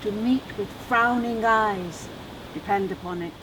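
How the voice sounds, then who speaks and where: A woman acting a slow, deliberate Dickensian lady. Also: medium pitch, distant mic, noisy background, natural voice. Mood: reflective. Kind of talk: dramatic literary recitation.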